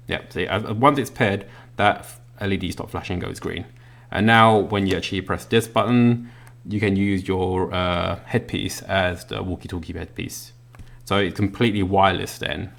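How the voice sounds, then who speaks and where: A man gives a casual hands-on product walkthrough. His voice sits very low and has a noticeable vocal fry. He explains things in a somewhat halting, unscripted way. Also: a bit bright. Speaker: product reviewer. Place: indoors at a work desk.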